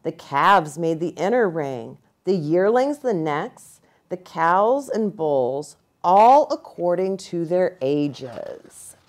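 A middle-aged American woman narrating a children's storybook in an expressive, deliberate way. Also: fairly low pitch. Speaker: pastor reading to children. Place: small furnished room, indoors.

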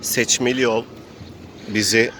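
A man's voice: mid-range voice, fairly even intonation, takes it slowly, sharp tone. Man narrating a role-play game over background noise. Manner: narrates game action as if living it. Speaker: game master and player.

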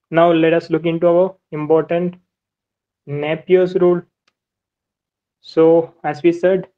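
A navigation teacher talks through a whiteboard lesson in a flat, even tone, going step by step and repeating each point. The recording is dull and lo-fi, like a muffled voice-over. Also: medium pitch. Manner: methodical, step-by-step explaining with much repetition.

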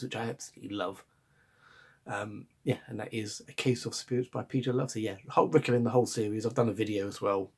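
Croaky-voiced man with a cold. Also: lowish voice, a little mellow. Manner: chatty, enthusiastic, frequent ums and restarts. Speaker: book reviewer. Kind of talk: casual book chat to camera. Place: home room in front of bookshelves. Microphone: camera or webcam at close range.

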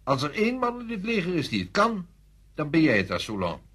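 An actor playing a senior French army general in a radio drama, authoritative, insistent and deliberate in manner. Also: male voice, fairly high pitch, huge pitch swings, a bit bright, slightly rough, full character voice. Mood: persuasive.

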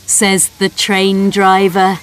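Woman narrator, storybook for toddlers, unhurried sing-song read, mid-pitched. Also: monotone, low-quality recording. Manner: very slow, clear, sing-song reading. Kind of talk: slow storybook narration for small children.

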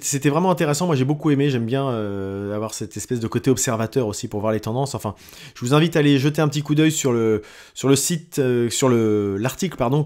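A man's voice: medium pitch, a little mellow. A man, talking through his weekly audio diary with many hesitations and restarts. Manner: halting, many fillers and restarts. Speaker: podcast host.

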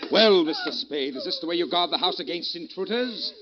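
Huffy, sputtering household gentleman. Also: high voice, lively intonation, a little fast, music in the background. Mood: indignant, huffy. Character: blustering, indignant gentleman of the household. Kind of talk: 1940s radio detective drama acting. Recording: muffled vintage 1940s radio recording.

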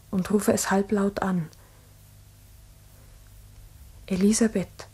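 An actress playing a pensive village schoolteacher, narrating in a subdued, measured way in a 1960s radio play. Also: female voice, medium pitch, dark tone, slightly rough. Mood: wistful. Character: pensive village schoolteacher recounting strange events.

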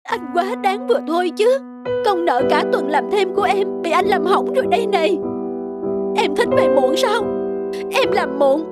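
A woman's voice: very high-pitched, animated, normal speed, voice pushed up. A woman voicing a young hardworking wife in a cartoon, emotional and pleading, with music behind. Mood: upset. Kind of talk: animated cartoon dubbing. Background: background music.

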